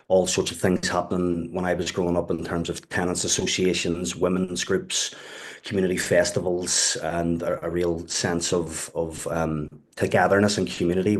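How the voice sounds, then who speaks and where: A man with a Belfast accent and a very low, deep voice talks at a webinar. He speaks hesitantly, stacking up fillers and starting over, and drops in local words like "wee". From West Belfast, Northern Ireland. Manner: hesitant, many fillers and restarts, says "wee bit". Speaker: webinar guest speaker, community youth worker. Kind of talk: casual spoken talk.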